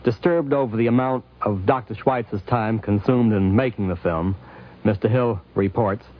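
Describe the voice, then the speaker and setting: A man's voice: fairly low pitch, moderate pace. A man reading scripted 1950s radio narration as a formal announcer. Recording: tinny, narrow-band old radio recording.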